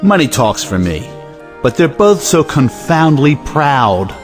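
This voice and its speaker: An actor playing a blustering, impatient, wealthy head of the family in an audio play, brusque and emphatic. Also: male voice, mid-range voice, big pitch swings, slow, soft background music. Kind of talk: stage play audiobook acting.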